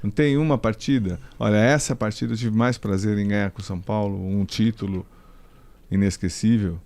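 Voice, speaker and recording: A man's voice: on the low side, steady tone, a little fast, darkish tone. A Brazilian man interviewing a guest, chatty, with long, rambling questions. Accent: Brazilian Portuguese. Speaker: interviewer, sports journalist. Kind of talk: casual interview.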